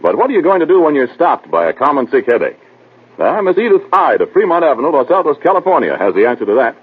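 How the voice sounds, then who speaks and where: A man with a husky voice reading an advertising pitch in 1940s radio announcer style. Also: very animated, quick pace. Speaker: commercial announcer. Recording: tinny old radio broadcast.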